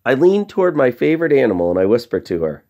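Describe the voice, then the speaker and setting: A man narrates a children's storybook with lively, swooping intonation. His voice has a gravelly creak, and he moves deliberately from phrase to phrase, leaving dramatic pauses. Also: medium pitch. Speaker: story reader. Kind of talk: storybook read-aloud narration.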